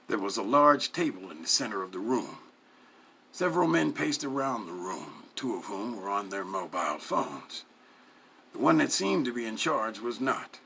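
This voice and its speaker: Slow, expressive, gravelly male storyteller. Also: on the low side, a little hiss or hum. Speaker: story reader. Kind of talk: dramatic narration of a first-person memoir.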